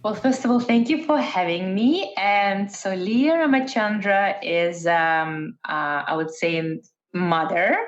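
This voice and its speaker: A woman's voice: medium pitch, takes it slowly. A middle-aged woman from Russia, raised in the Netherlands, answering interview questions thoughtfully with many fillers and restarts. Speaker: interview guest, entrepreneur. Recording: dull lo-fi sound.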